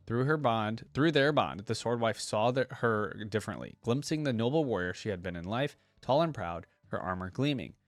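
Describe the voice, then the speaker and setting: Low-voiced man reacting and reading aloud. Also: expressive, moderate pace, hardly any pauses, no room sound. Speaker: reaction video host. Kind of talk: casual reaction commentary and story read-aloud.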